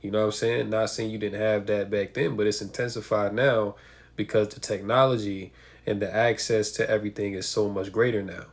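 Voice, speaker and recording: A man's voice: lowish voice, fairly even intonation, a little fast. A man with a stuffy nose from a cold, giving emphatic preaching commentary and asking rhetorical questions. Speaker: religious commentator.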